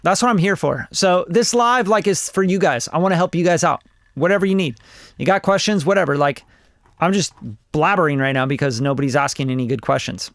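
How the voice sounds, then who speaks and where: A man's voice: a little high, brisk, fairly bright tone, no echo, studio quality. A man in his forties from Costa Rica, a music producer chatting on a livestream in a rambling, blunt, swearing way. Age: 40s. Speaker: music producer reviewing songs live. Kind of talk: casual livestream chat.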